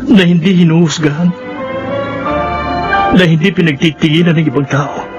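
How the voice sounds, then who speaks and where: Man playing a high-voiced, weary, confessing son. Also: fairly even intonation, moderate pace, natural voice. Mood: anguished confession. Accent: Filipino. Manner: earnest, pleading. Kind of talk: Filipino radio drama acting. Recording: dull lo-fi sound, loud music behind.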